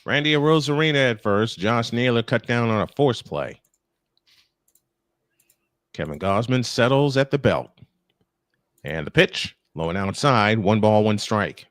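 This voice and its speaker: A man's voice: medium pitch, very animated, measured pace, long pauses, smooth, no echo. A man calling a baseball game live as play-by-play host, slipping between commentary and casual chat.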